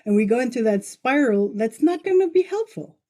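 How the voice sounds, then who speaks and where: A woman hosting a podcast in casual conversation, with informal, halting phrasing as she rewords herself. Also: fairly high pitch, very animated, dark tone. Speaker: podcast host.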